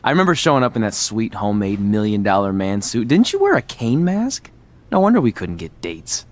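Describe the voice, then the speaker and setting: Goofy easygoing young guy, lively intonation. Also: on the low side, a little fast. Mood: breezy, joking. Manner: joking, casual. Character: goofy, easygoing young man, wrestler sidekick. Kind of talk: scripted video game cutscene acting.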